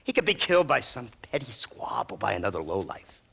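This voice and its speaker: An actor playing a smug, menacing middle-aged American government agent, mock-polite and condescending, in a game cutscene. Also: male voice, on the low side, big pitch swings, fairly quick, creaky, pushed and bright, slightly rough, heavily acted. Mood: smug menace. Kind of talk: dramatic game cutscene acting.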